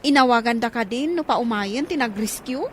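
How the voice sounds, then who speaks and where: A woman's voice: on the high side, normal speed, background noise, lightly acted. A woman with an Ilocano accent playing an inquisitive village woman at a pier. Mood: curious.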